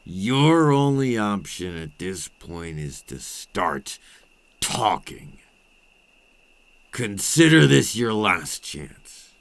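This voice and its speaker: Man as menacing male vampire, ASMR roleplay, gravelly, drawn-out deliberate delivery, silky, patient, taunting. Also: big pitch swings, dry recording, full character voice. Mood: forceful threat. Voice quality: very deep, gravelly. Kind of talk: intimate ASMR roleplay acting. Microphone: close microphone.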